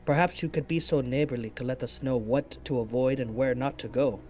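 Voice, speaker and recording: A man's voice: mid-range voice, fairly even intonation, brisk, a little mellow, lightly acted. A man playing a courteous, theatrical adventurer with feigned innocence in a tabletop game. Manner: florid, theatrical, polite.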